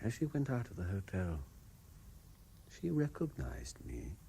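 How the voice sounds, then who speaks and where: Deep, haunted, obsessive man. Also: huge pitch swings, vocal fry, dark tone, a little hiss or hum, full character voice. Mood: brooding, obsessed. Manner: hesitant, halting. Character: haunted, obsessive French man, anxious and intense. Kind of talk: radio drama acting. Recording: 1970s radio drama broadcast.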